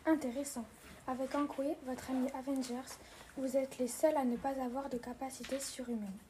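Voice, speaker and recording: A woman interviewer asking flat, scripted questions. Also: high voice, moderate pace, a little hiss or hum.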